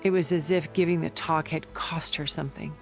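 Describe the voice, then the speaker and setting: An actor playing a secretive male schemer in a video game, speaking in a measured, composed way. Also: on the high side, steady tone, faint music behind, lightly acted. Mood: reminiscing. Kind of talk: video game cutscene acting.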